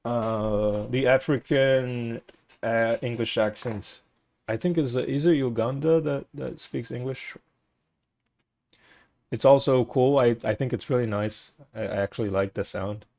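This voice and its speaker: A man's voice: mid-range voice, a little slow, gravelly, darkish tone. A young man, a native Portuguese speaker with a Portuguese accent, chatting casually with stream viewers, rambling, searching for words and laughing often.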